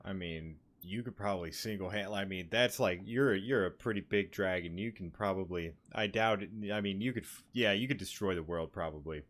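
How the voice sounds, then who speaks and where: A man's voice: sits low, brisk, hardly any pauses, a bit bright. A man commenting casually while playing a game, musing in a rambling, hesitant way. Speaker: gaming streamer.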